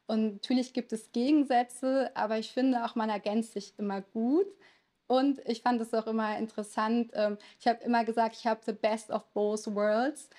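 Chatty woman lawyer, slightly high voice. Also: darkish tone. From Lower Saxony, Germany. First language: Italian. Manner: chatty, frequent hesitation fillers. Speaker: lawyer, podcast guest. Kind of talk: conversational interview answers.

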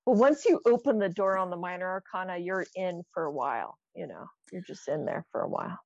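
A woman with a noticeable vocal fry chats as a podcast co-host. She reads out items and muses over them encouragingly. The recording is dry and a bit muffled. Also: fairly low pitch, lively intonation, a little fast, dry recording. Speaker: podcast co-host, quiz reader. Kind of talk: casual banter, reading out quiz items.

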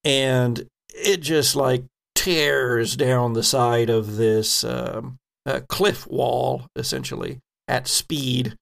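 A man narrating a tabletop game as its game master, telling the scene in a halting, descriptive way. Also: mid-range voice, steady tone, creaky, fairly bright tone.

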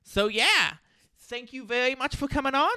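A man's voice: extremely high pitch, animated, normal speed, bright, forceful tone, clean tone, no echo, studio quality. A man hosting a podcast, talking in a chatty, informal, rambling way. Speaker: podcast host. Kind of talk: casual podcast chat.

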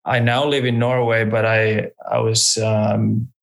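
Guy, photographer guest, slow and hesitant, keeps restarting. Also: on the low side, flat delivery, creaky. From Finland. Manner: hesitant, many restarts and fillers. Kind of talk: casual interview talk.

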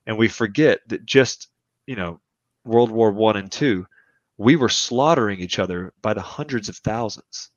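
A man in his thirties speaks in a low voice, chatting as a podcast guest and pressing his opinions with emphasis. His talk wanders through restarts as he builds his points. The audio is dry and a little dull, like a lo-fi podcast mic. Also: moderate pace, dry recording. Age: 30s. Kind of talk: casual conversational podcast chat.

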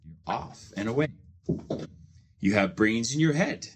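A man reading a children's book aloud as host, with measured phrasing and expressive emphasis. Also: mid-range voice, a little hiss or hum. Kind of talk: storybook read-aloud.

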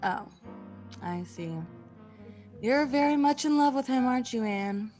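A woman playing a gently sceptical, concerned friend and narrator in a classic radio suspense play. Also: high-pitched, animated, a little slow, soft background music. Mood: curious, gently doubting.

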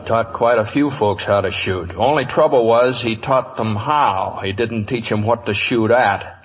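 A man playing a quiet, determined, vengeful cowboy in a 1950s radio western, laconic and drawling. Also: mid-range voice, unhurried, in a large hall, natural voice, poor audio. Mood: cold.

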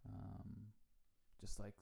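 A man hosting a podcast interview, asking curious, animated questions with many "like"s. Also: on the low side, extremely slow, vocal fry, slightly rough. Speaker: podcast host.

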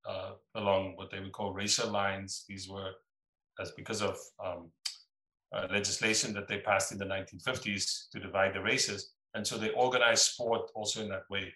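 A man in his fifties with a South African accent, explaining things in a measured way in an interview. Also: sits low, level tone, pushed and bright. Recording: echoey room.

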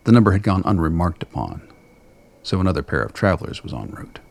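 A male story narrator with a remarkably deep voice. It is full of fry and rasp as he reads scripted dramatic prose. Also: big pitch swings, quick pace, darkish tone. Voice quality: gravelly, husky.

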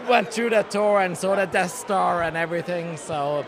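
High-voiced European man in crowded bar. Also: unhurried, crowd noise, noisy background. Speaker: guest, toy collector. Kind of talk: casual chat. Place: busy hotel bar.

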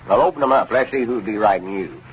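An actor playing a folksy, self-satisfied older country schemer with a drawling rural Southern accent in a 1930s radio comedy. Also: male voice, on the low side, quick pace, lightly acted. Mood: insistent. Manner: drawling, rustic. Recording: muffled, hissy 1930s radio recording.

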